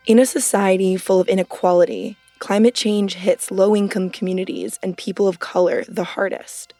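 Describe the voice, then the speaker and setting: A woman's voice: mid-range voice, fairly even intonation, normal speed, warm tone, no echo, faint music behind. A woman narrating a documentary podcast from a script in a conversational, punchy way.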